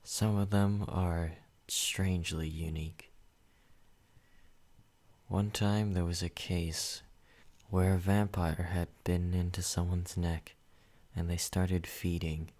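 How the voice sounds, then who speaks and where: A man voicing a possessive male vampire who speaks calmly and directly to the listener in an ASMR roleplay. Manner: slow, low and measured, addressing the listener.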